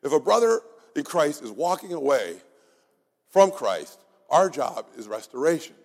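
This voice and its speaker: A man preaching a sermon in an earnest, exhorting way, his voice gone raspy. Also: on the high side, sing-song, a little slow. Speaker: pastor. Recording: long hall reverb.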